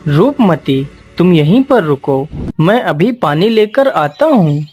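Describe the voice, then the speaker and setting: A woman voicing an earnest, caring young prince in an animated Hindi moral story, speaking deliberately. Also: on the low side, highs cut off, soft background music. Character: young prince, earnest devoted husband to Rupmati.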